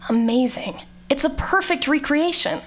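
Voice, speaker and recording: A woman's voice: high-pitched, moderate pace, faint music behind. A woman playing a snarky, proud scientist in an audio drama, with a dry, sarcastic way of talking. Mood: awed.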